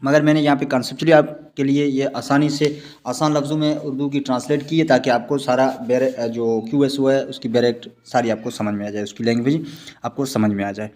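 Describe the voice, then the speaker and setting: A man's voice: mid-range voice, steady tone, a little fast, darkish tone. A man with a Pakistani accent lecturing on law in an explanatory way, repeating and rephrasing his points. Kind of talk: explanatory online lecture with read-out passages.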